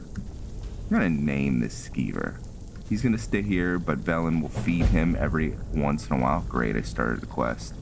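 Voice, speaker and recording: A man hosting a gaming video with casual, rambling, halting commentary over the game. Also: very deep voice, noisy background. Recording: clear game ambience behind voice. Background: game sounds.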